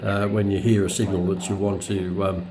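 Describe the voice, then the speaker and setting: A man presenting a product review in a casual, unscripted way, explaining features in a hesitant, halting manner. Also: sits low, level tone, a crowd in the background.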